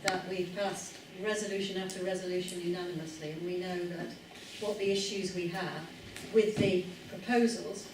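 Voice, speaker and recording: A woman's voice: on the low side, fairly even intonation, moderate pace, few pauses. A woman speaking up at a public meeting, hesitant with many fillers. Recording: echoey hall with background noise, far off.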